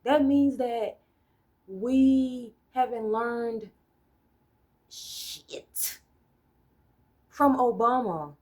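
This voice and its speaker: A woman's voice: fairly high pitch, extremely slow, pauses often, darkish tone. An American woman ranting casually on a solo vlog. She is blunt and profane, rambles, and loses her train of thought. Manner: talks things through with hypotheticals and "right?" checks. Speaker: solo vlogger. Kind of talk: casual political rant.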